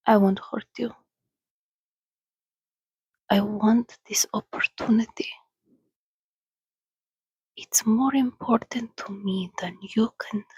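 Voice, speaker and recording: A woman speaking in a hushed, soothing, often whispered ASMR style, as if calming a companion. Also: mid-range voice, gravelly, husky, dry recording. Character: calm, sociable female vampire soothing a companion. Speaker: ASMR host. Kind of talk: soft-spoken ASMR reading and roleplay.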